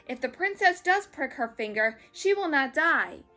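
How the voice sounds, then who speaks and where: A gentle good fairy of the storybook kind, put on by a woman reading aloud. Her voice is high and bright, and she proclaims earnestly over faint music. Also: big pitch swings, moderate pace, full character voice. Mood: earnest reassurance. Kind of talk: storybook character voice.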